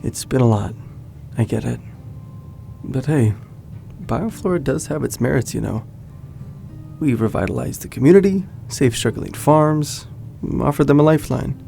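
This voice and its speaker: An actor playing a calm, reassuring young man in a naturalistic audio drama, easygoing and measured. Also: medium pitch, gravelly, soft background music. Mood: measured, persuasive. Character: laid-back, reassuring young man, loyal childhood friend.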